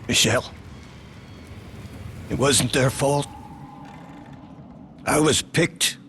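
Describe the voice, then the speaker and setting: An actor playing a broken, grieving father full of bitter regret, speaking in a halting, mournful way in a game cutscene. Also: medium pitch, fairly bright tone, a little hiss or hum. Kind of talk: video game cutscene acting. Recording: faint music behind the voice.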